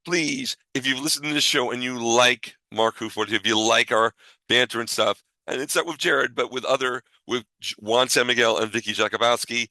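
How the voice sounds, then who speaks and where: A man hosts a casual podcast in a bright, forceful voice. The sound is close and dry. He talks in a reassuring, informal way and tends to repeat himself to make a point. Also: mid-range voice, fairly quick, no echo, rough recording. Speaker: podcast host. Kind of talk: casual podcast chat and sign-off.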